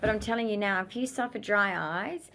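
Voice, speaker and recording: An Australian woman with a croaky voice, chatting casually and rambling to her vlog audience at home and in a car. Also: medium pitch, fairly quick, sharp tone, roomy sound, a little hiss or hum. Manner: chatty, rambling, laughs often. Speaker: vlogger.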